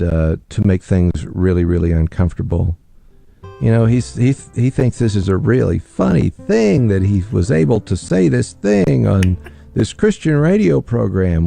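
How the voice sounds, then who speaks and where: Deep-voiced, gravelly American radio host. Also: male voice, expressive, measured pace, mellow, faint music behind.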